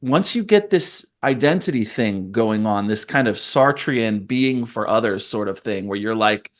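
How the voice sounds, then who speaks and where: A man's voice: lowish voice, expressive, a little slow. Thoughtful, rambling man hosting a podcast. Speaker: podcast host. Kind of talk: casual conversation.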